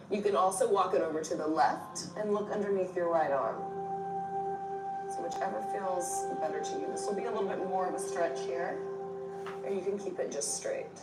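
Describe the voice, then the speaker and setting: Distant, roomy woman yoga instructor, lower-pitched. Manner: instructional, cueing breath and movement in short phrases. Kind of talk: guided yoga class, step-by-step instruction. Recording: roomy distant mic under loud music.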